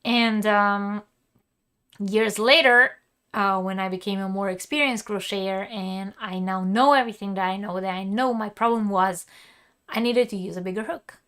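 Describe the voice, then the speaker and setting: A woman's voice: mid-range voice, normal speed, fairly bright tone, clean tone. A woman teaching crochet on a live stream, chatty and laughing, who says she is losing her voice and keeps coughing. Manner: chatty and laughing, with many fillers and restarts. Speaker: crochet teacher and channel host. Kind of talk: live-streamed craft lesson, answering chat.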